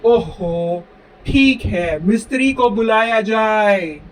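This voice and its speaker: A man playing a blustering, greedy king in an animated fable, pompous and commanding, recorded at a distance with faint noise. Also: high voice, slow pace.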